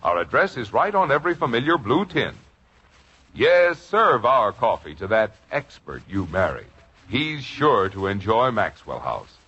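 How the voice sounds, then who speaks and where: A man's voice: medium pitch, very animated, unhurried, pushed and bright, slightly rough. A man announcing a 1950s radio commercial in an emphatic, persuasive manner. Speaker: radio announcer reading a sponsor's ad. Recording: dull vintage radio recording.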